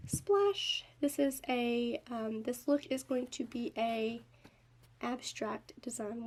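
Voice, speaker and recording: A woman's voice: fairly high pitch, darkish tone. A young woman with a nasal, stuffy voice from a head cold, chatting in a casual, upbeat way while she shows nail polish swatches. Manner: chatty and upbeat, lots of fillers. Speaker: nail art vlogger. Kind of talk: casual product review and tutorial.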